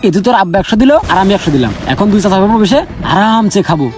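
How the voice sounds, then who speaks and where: A man's voice: sits high, expressive, rapid-fire, darkish tone, soft background music. A young man with a rural Bangladeshi accent playing a brash, shifty layabout in an amateur drama. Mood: cocky, taunting. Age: young adult. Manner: cocky, chummy. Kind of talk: amateur Bengali short drama acting.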